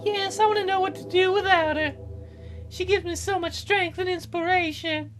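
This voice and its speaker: A woman's voice: extremely high pitch, fairly even intonation, moderate pace, soft background music, voice pushed up, poor audio. A woman voicing a grieving, haughty widowed novelist in a visual novel, with a dramatic, proper manner. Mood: composed, gracious.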